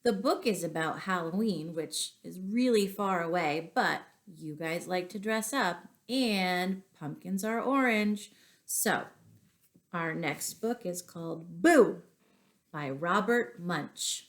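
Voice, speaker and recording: A woman teacher reading poems and picture books aloud to young children, as an expressive, deliberate storyteller. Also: fairly low pitch.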